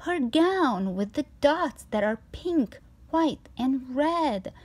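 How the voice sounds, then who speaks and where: A woman reading a children's storybook aloud with expressive, rhythmic, emphatic narration. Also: high voice, takes it slowly.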